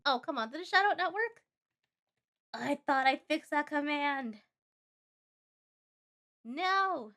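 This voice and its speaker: A woman streaming a game live, chatty and expressive, reading the on-screen story aloud and tossing in playful asides. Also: sits high, takes it slowly, long pauses. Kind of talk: live let's-play commentary, reading game text aloud.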